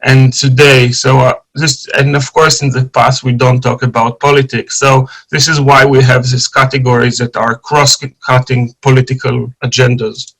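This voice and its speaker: A man's voice: medium pitch, rough recording. Israeli man giving an online lecture. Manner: enthusiastic, fast when excited, frequent fillers and restarts. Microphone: video call.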